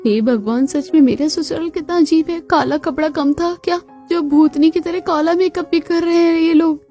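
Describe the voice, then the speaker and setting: A woman voicing a put-upon young bride in a family cartoon, expressive and dutiful, given to muttering. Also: very high-pitched, normal speed, soft background music, pitched above the performer's own voice. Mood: exasperated.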